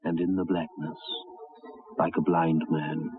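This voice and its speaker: Old radio horror narrator: low, grave, portentous, measured, dull recording with music underneath. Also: male voice, flat delivery. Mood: ominous. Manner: measured, portentous, dramatic pauses.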